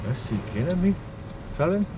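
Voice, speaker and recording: An actor playing a wary Bavarian village garage owner in a dialect radio play, husky and halting as he probes. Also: sits high, big pitch swings, noisy background. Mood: folksy, curious.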